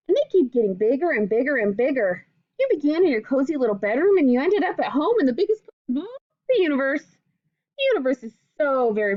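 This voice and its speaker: Animated woman reading aloud to children. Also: sits high, no room sound, highs cut off. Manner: expressive, simple phrasing aimed at young children. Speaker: teacher reading a picture book aloud to children. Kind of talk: children's read-aloud with explanatory asides.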